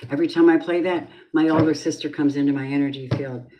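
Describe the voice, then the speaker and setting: A middle-aged woman with a low voice, chatting in a rambling, casual way as she gives a tarot reading on a live stream. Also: steady tone, quick pace, muffled recording, background noise. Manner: chatty, rambling, drifting off topic. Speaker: tarot reader.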